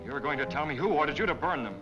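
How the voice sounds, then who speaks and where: A male actor plays a confident masked crime fighter from a 1940s film serial. His delivery is bold and commanding, with lively swings of pitch. Music runs behind him on an old film soundtrack. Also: rapid-fire, lightly acted, rough recording. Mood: stern, demanding. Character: bold, commanding male newspaper editor turned masked avenger.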